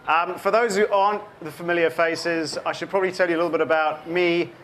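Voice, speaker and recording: A South African man in his forties speaks at a book event, a fairly high voice for a man. He talks in a thoughtful way, with many ums and restarts as he gathers his thoughts. A faint hiss of the room sits under him. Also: fairly even intonation, brisk, a bit bright. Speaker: author giving a book talk. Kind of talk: public talk to an audience.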